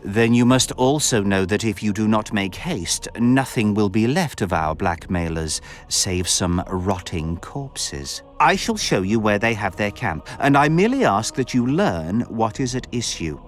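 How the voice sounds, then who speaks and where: A suave game count with a lowish voice that rises and falls expressively. He talks in measured, ornate phrases full of insinuation, over faint music. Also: sing-song. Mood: smooth urging. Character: suave, scheming, eloquent nobleman count. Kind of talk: video game cutscene dialogue.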